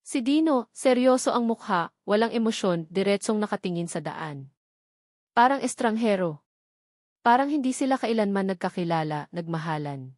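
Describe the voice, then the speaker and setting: Woman, Filipino accent, story narrator, medium pitch, dramatic measured delivery, dry studio-like sound. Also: expressive, darkish tone, no echo.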